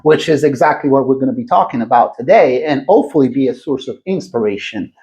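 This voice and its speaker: An Italian-accented man hosts a podcast conversation in a mid-range voice. He speaks earnestly and keeps encouraging his guest with quick affirmations. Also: medium pitch, animated, warm tone. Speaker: podcast host. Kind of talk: interview hosting.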